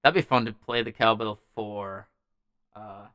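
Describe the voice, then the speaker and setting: Man, clear smooth voice, dry close sound, rambling casual livestream host. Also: on the low side, fairly even intonation, a little slow, bright, forceful tone, dry recording. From Norway. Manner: rambling, reading and answering viewers' messages. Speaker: music show host.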